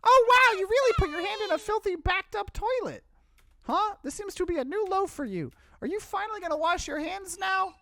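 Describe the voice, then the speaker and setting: Shrill, spiteful little spirit creature. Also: male voice, expressive, fairly quick, bright, forceful tone, no echo, heavily acted. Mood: mocking. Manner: taunting, gloating. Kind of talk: visual novel character voice.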